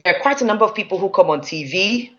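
A woman with a Nigerian accent, a public speaking trainer teaching a masterclass in an emphatic, lively way. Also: mid-range voice, fast talker, dull audio.

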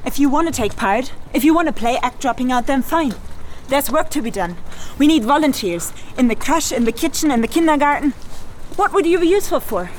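A woman's voice: high voice, expressive, a little fast, low-quality recording. An actress playing a combative, idealistic German activist woman in a radio drama, scornful, challenging and defensive. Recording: noticeable ambient noise.

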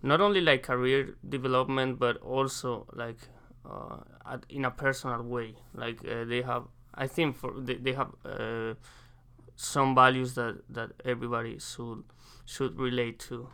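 A young man from Spain with a Spanish accent, an exchange student answering interview questions casually and haltingly, pausing to search for English words. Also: mid-range voice, fairly even intonation, sharp tone, clean tone. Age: young adult.

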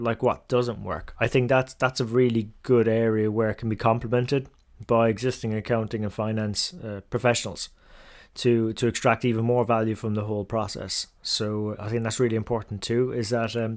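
A man hosting a business interview, agreeing often and asking long reflective questions. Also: mid-range voice. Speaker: interview host.

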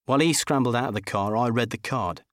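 A man narrating a comic first-person audiobook in a wry, expressive storytelling manner. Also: medium pitch, fast, no room sound, studio quality.

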